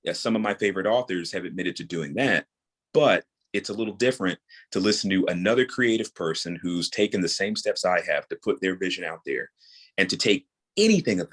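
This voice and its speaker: Very deep-voiced man in his thirties. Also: a bit bright, slightly rough. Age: 30s. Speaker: interview guest, audio drama creator and voice actor. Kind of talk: conversational interview.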